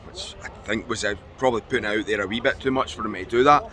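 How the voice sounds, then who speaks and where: A Scottish man with a croaky voice, chatting casually about football outdoors. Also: fairly low pitch, quick pace, pushed and bright. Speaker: podcast panelist.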